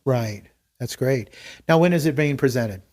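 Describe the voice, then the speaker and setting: A man's voice: mid-range voice, moderate pace, a little mellow. A man hosting a podcast, chatting casually with his guests in an encouraging, appreciative way as he interviews them.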